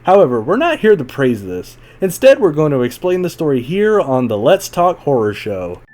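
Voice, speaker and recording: A man's voice: on the high side, sing-song, normal speed, darkish tone, a little hiss or hum. A man hosting a podcast, giving a scripted story recap with informal, wry asides. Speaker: podcast host and reviewer. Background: music.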